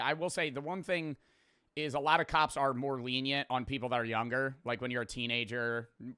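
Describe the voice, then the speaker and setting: A man's voice: mid-range voice, pushed and bright, no echo, studio quality. A man doing casual, slangy, joking reaction commentary with plenty of swearing. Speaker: host reacting to a video.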